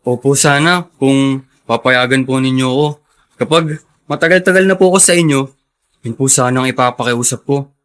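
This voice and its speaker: A man's voice: medium pitch, moderate pace, fairly bright tone, lightly acted. An actor playing a humble, polite young male helper who speaks hesitantly, in a radio drama. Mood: shy, hopeful. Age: young adult.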